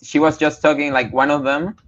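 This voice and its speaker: A man's voice: fairly high pitch, level tone, brisk, a bit bright, highs cut off. A man hosting a podcast interview, talking hesitantly and often searching for his words. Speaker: podcast host. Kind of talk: casual interview chat.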